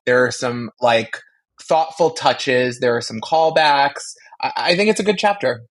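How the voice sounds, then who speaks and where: A man's voice: mid-range voice, measured pace. A man co-hosting a podcast in casual chat, with a jokey, emphatic, campy manner. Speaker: podcast co-host.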